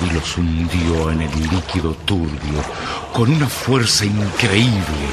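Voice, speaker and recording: Deep, ominous male narrator. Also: takes it slowly, background noise. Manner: grave, dramatic, ominous. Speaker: radio drama narrator. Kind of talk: scripted horror story narration. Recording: loud music under the voice.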